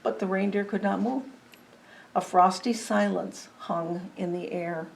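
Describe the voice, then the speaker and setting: A woman reads a picture book aloud for children. Her voice is medium in pitch, and she tells the story expressively, with a dramatic touch. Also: fairly even intonation, measured pace, gravelly. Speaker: storybook reader. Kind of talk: children's story read-aloud with chatty asides.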